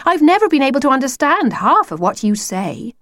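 A woman voicing a blunt, exasperated young schoolgirl in a children's story, brisk and impatient. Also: high-pitched, sing-song, no room sound, clean studio sound, pitched above the performer's own voice. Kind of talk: children's storybook character reading.

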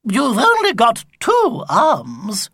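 A man voicing a trio of clingy elderly women fans with shrill, screechy voices, speaking slowly. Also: huge pitch swings, bright, forceful tone, slightly rough, dry recording, clean studio sound, full character voice. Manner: screechy high slow delivery.

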